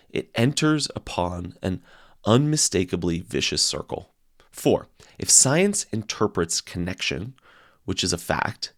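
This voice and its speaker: Male reader, lowish register, widely swinging melody, theatrical and deliberate delivery of dense philosophical prose. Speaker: audiobook reader. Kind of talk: dramatic reading of a philosophy text.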